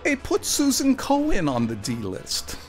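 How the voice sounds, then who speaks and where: A man performing a first-person story for radio, with a wry, animated and earnest delivery. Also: very high voice, a little slow, faint music behind.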